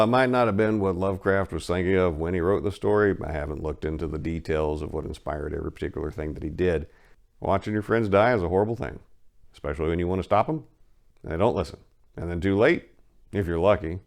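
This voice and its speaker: A man's voice: sits low, fast, creaky. A man who hosts a story-reading channel, talking casually and directly to his viewers. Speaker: story reader and channel host. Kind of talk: casual outro addressed to viewers.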